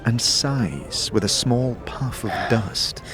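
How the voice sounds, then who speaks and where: Expressive male fantasy narrator. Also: medium pitch, takes it slowly, over music. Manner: descriptive, storytelling delivery. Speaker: narrator and game master. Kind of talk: scripted second-person fantasy narration.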